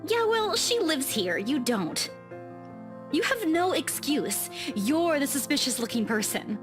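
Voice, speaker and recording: Visual novel young woman: feisty, defensive, high-pitched and animated, teasing bite, soft music underneath. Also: unhurried. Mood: scolding. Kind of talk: visual novel game voice acting.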